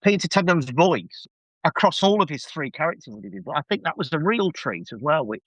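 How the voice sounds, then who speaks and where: Male podcast guest, middle-aged, effusive and self-deprecating, animated higher-pitched voice, dry close sound. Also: brisk, no room sound, highs cut off. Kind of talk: casual enthusiastic review chat.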